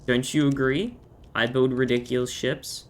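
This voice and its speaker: A man with an Australian accent and a croaky voice, chatting playfully and joking while he streams a game. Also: medium pitch, level tone, moderate pace, a little hiss or hum. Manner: joking, playful, self-mocking. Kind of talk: casual gaming chat.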